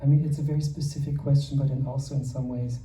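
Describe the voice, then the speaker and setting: A man interviewing a guest, asking questions in measured, searching phrases. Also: medium pitch, little pitch movement, warm tone. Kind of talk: conversational interview. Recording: roomy distant mic with faint noise.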